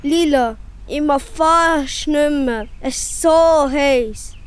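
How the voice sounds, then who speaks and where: A woman's voice: high voice, slow pace, slight background noise. A woman playing a small, naive male forest animal child in a children's radio play, with a Swiss German accent and a questioning manner. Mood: exhausted.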